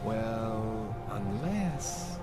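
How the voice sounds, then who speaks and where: Game role: slick, jittery male underground tech. Swooping, animated pitch, wheedling, music behind. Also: very slow. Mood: uneasy. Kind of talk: video game cutscene acting.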